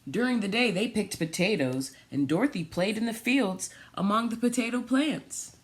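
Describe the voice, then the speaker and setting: A woman reading a picture book aloud for children, pausing and adding little asides about the pictures. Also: mid-range voice, lively intonation, slight background noise. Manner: pausing, pointing out pictures to young listeners. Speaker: storytime reader for a children's theatre.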